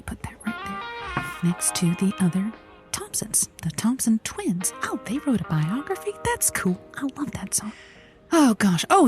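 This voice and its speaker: A woman playing an anxious, sarcastic librarian who mutters to herself in a comic audio drama. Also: medium pitch. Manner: talks to herself.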